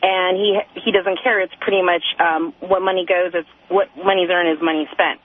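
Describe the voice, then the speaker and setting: A woman's voice: lowish voice, steady tone, normal speed. A woman, a hesitant, rambling and deferential caller chatting casually over the telephone. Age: 20s. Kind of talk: casual phone-in chat. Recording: tinny, narrow telephone line on radio.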